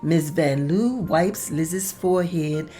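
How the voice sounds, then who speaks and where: A woman narrates a script's stage directions. Her voice sits a little low and moves very slowly. Soft music runs faintly underneath.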